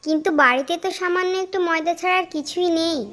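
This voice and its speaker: A woman voicing a devout, caring and easily flustered young wife in a moral-tale cartoon, polite and expressive. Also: very high voice, fast. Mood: caring, concerned.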